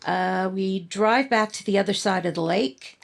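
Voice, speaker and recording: A woman acts out a nervous accomplice in a vintage radio crime play. Her medium-pitched voice is hesitant and full of doubt, anxious in the way of someone in over her head. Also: unhurried, creaky. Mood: uneasy. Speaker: actor. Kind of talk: old-time radio thriller acting.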